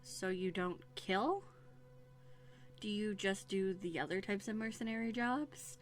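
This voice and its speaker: A woman's voice: mid-range voice, measured pace, faint music behind, close to an everyday voice. A woman playing a haughty, wary young runaway princess in a scripted audio roleplay, speaking in prim, formal phrases with dramatic hesitations. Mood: skeptical, challenging. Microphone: close USB studio microphone.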